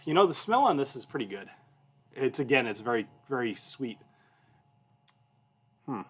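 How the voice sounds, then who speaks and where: Male host, 30s, mid-pitched voice; informal livestream chat, loose anecdotal delivery that wanders and doubles back. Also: very animated, moderate pace, slightly rough. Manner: informal, rambling, self-interrupting. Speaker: whiskey review show host.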